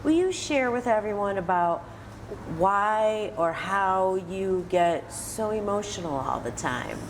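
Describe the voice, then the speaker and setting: A woman's voice: mid-range voice, animated, takes it slowly, background noise. An American woman hosting an interview, encouraging her guest and restating what is said. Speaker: interviewer and host. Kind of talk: interview at a senior center, prompting and recapping.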